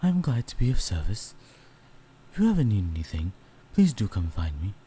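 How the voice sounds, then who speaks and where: A man's voice: fairly low pitch, wildly swooping pitch, moderate pace, warm tone, breathy edge, heavily acted. A man voicing a courteous, regal, ancient male host in an audio roleplay, speaking formally and graciously.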